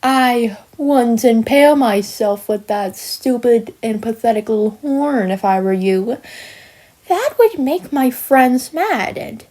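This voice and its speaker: A woman voicing a smug, villainous cartoon sheep creature who taunts in a theatrical, mocking manner with drawn-out words and hesitant asides. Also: sits high, exaggerated voice. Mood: menacing, condescending. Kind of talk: scripted character monologue for a fan voice-over.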